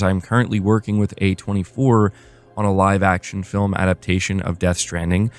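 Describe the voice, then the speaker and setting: A man's voice: lowish voice, darkish tone. A man hosting a gaming news show, reading reports aloud and adding casual commentary.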